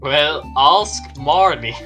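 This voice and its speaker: A man chatting casually with friends during a game, explaining things in a measured way. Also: on the high side, animated, sharp tone, soft background music. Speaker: co-player in a gaming stream. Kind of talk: casual gaming chat.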